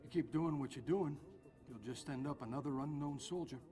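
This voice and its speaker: An actor playing a smug, confident private security boss with a smooth, needling way of talking. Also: male voice, fairly high pitch, fairly quick, mellow, close to an everyday voice. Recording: game cutscene with music underneath.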